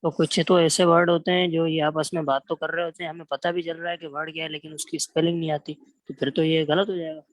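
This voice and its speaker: A man's voice: on the high side, flat delivery, fast talker, few pauses, no echo. A man with a Pakistani accent, a student asking his teacher hesitant questions over a video call.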